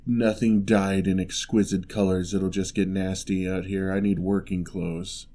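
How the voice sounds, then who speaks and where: A man playing a lonely, gentle male giant in a fantasy ASMR roleplay, speaking in a measured, wry, slightly formal way. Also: sits low, little pitch movement. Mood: matter-of-fact, bargaining.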